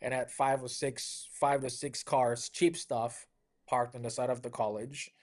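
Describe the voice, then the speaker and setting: A middle-aged man from Malaysia tells stories in a relaxed, upbeat interview manner. He leans on "you know" and keeps the anecdotes rolling in a mid-range voice. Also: mid-range voice, unhurried. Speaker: car dealership owner, guest. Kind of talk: conversational interview storytelling.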